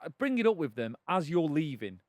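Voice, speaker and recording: A man's voice: on the high side, sing-song, a little fast, a little mellow, dry recording, clean studio sound. A man co-hosting an advice podcast, chatty and emphatic, getting indignant. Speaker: podcast co-host. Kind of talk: casual advice chat.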